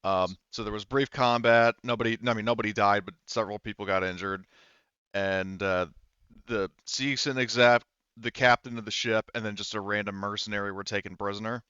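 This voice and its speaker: A man casually narrating and explaining a tabletop game as game master, haltingly thinking aloud. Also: fairly low pitch, fairly even intonation, pushed and bright, clean tone, no room sound, muffled recording.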